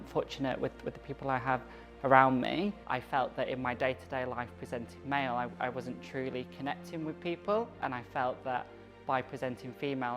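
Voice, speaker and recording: A woman from Manchester calmly recounting her experience in an interview, measured and grateful. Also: a bass voice. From Manchester, England. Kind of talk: reflective personal account.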